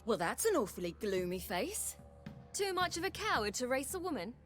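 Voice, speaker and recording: A daring young swordswoman from a video game, with a fairly high voice. She is wry, self-assured and sparing with words, teasing as she talks. Light music plays behind her. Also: very animated, normal speed. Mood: challenging. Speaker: voice actor in a game. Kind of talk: dramatic game acting.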